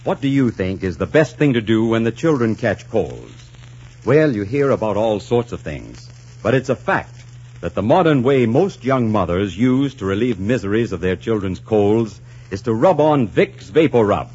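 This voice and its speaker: A man's voice: fairly low pitch, very animated, normal speed, darkish tone, husky. A man announcing in 1940s radio style, with polished, emphatic salesmanship. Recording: muffled old 1940s radio broadcast.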